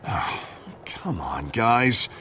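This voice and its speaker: An eager game politician. His voice sits on the low side and moves around a lot, chummy and placating. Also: expressive, extremely slow, bright, forceful tone, heavily acted. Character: eager, anxious-to-please male politician. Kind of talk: video game voice acting.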